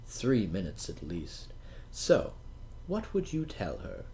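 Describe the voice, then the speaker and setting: A man's voice: sits low, huge pitch swings, slow pace, warm tone, slight background noise, poor audio. A man reading an audiobook in a theatrical, wry and conversational way, as if addressing the listener directly. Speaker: audiobook narrator. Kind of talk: dramatic first-person audiobook narration, conversational asides.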